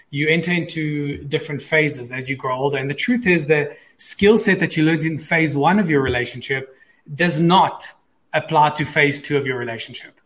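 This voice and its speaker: A man's voice: fairly high pitch, fairly bright tone. A man speaking as an expert psychologist guest, explaining conversationally and repeating key phrases for emphasis.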